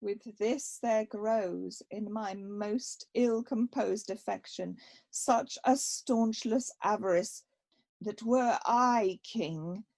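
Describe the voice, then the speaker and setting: Woman playing a slow, grave young exiled prince. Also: medium pitch, gravelly, darkish tone. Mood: bitter, confessing. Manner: deliberate, dramatic verse delivery with weighty pauses. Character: young exiled Scottish prince, grave, self-accusing, testing. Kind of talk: radio play Shakespeare acting.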